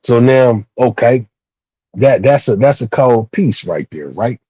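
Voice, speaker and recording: Casual male panelist, husky and relaxed, halting but emphatic, swears freely, lo-fi sound. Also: medium pitch. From Texas, United States. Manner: emphatic, repetitive, halting phrasing. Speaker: discussion participant. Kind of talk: casual, profane panel discussion. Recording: dull, roomy, muffled lo-fi audio.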